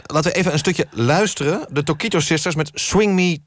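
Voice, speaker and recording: A man's voice: a little high, brisk. A man presenting and interviewing in the style of a radio magazine from a studio.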